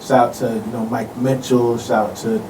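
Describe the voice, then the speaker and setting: An American man in relaxed, casual conversation as a guest. His mid-pitched voice sits a little away from the microphone, with faint room noise around it, and he speaks in a loose, laid-back way full of "you know". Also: normal speed, recorded from a distance. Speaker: guest, local performer. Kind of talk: casual chat. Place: radio studio.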